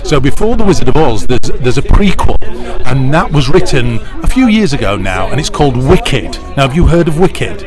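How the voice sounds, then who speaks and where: A man's voice: medium pitch, very animated, moderate pace. A middle-aged British man giving interview answers in a chatty, enthusiastic storytelling way. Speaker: pantomime producer, interviewee. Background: music clearly audible.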